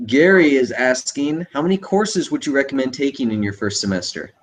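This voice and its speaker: A man moderating a livestream Q&A, reading out student questions in a measured way. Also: medium pitch, lively intonation, slight background noise. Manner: measured, reads questions aloud slowly. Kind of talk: casual livestream Q&A.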